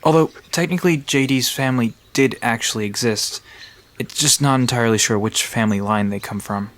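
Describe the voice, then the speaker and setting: A woman's voice: extremely low pitch, brisk. A woman playing an easygoing, knowledgeable park guide in an audio drama, casual and reassuring as they explain things. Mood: explanatory.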